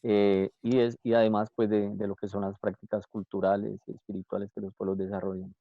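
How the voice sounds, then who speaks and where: A man's voice: lowish voice, flat delivery, fairly quick, vocal fry, dry recording. A man from Colombia speaking as a panelist on a video call, explaining steadily with frequent fillers. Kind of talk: virtual panel talk. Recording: tinny phone-like call audio.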